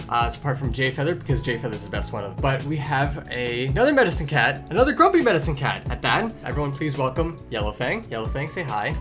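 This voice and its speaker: A grumpy cartoon tomcat, voiced by a man, hosts a fan Q&A with needling sarcasm and casual swearing. His delivery is animated and swings freely in pitch, with some music behind him. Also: lightly acted. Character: grumpy male medicine cat, snarky, foul-mouthed teasing host. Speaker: host of a character question-and-answer show. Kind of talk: improvised in-character banter.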